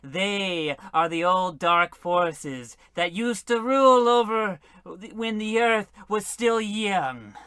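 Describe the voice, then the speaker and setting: An actor playing a grandiose, menacing novelist who serves dark gods, in theatrical old radio horror comedy style. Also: male voice, high voice, clear, resonant tone, dry recording, studio quality, heavily acted.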